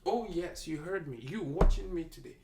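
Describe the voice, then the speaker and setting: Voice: mid-range voice, lively intonation, normal speed, vocal fry, warm tone. A speaker with a Nigerian accent, a doctor giving an emphatic, preachy health talk to camera and repeating key lines for effect. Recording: echoey room, mic held at a distance.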